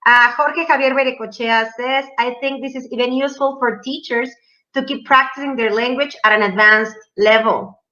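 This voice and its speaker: A woman's voice: on the high side, steady tone, moderate pace, bright, forceful tone, muffled recording. A woman moderating an online conference Q&A, asking questions and reading out audience comments, heard over a video call. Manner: reads audience comments, brief affirmations.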